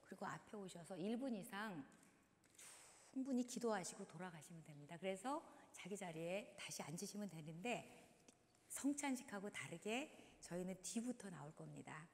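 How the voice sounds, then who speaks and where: A hoarse woman guiding a congregation through simple prayer movements in a church, speaking slowly and encouragingly. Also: lowish voice, animated, a little mellow. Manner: slow, instructive, encouraging. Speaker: dance leader in a church service. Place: large church sanctuary. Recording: long reverb in a large hall.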